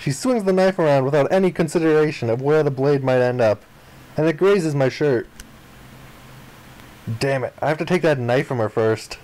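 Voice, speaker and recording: Man, moderately high pitch, gaming playthrough narrator, reading story text aloud, dramatized dialogue. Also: moderate pace, a little mellow, poor audio.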